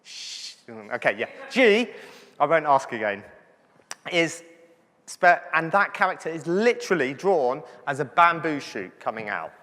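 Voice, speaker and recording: A man's voice: fairly high pitch, fairly bright tone. A man, a doctor, giving a chatty, joking conference lecture to physiotherapists and checking in with the audience. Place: large conference hall. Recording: hall reverb from the venue.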